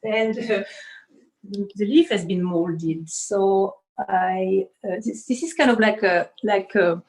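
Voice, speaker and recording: A woman's voice: medium pitch, takes it slowly, a little mellow, poor audio. A woman from Switzerland gives an informal explanatory studio tour as an artist and costume designer, often searching for words and adding "you know".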